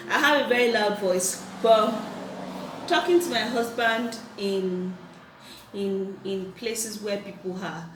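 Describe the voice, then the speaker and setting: A chatty woman from Nigeria talks with her husband, reining in a loud voice and circling back on her words. She sits a little off the mic in a roomy, echoing space with a faint hiss underneath. Her pitch sits mid-range. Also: slow, recorded from a distance. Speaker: vlogger co-host. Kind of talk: casual couple chat.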